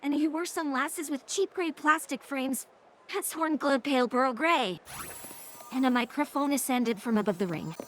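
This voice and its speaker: A woman's voice: high-pitched, normal speed, a bit bright, a little hiss or hum. A woman's synthetic text-to-speech voice reading scripted third-person story narration.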